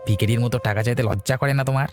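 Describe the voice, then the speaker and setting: A man's voice: medium pitch, very fast, over music, natural voice. A man playing a rude, spiteful village man in a fairy tale cartoon, sneering and hectoring as he talks. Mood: scornful, threatening.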